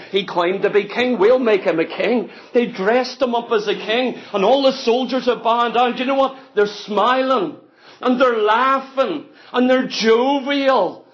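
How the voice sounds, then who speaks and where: A man's voice: very high voice, a bit bright. A man preaching an impassioned sermon, telling the story dramatically and pressing each point with emphatic repetition. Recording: dull, lo-fi sermon recording.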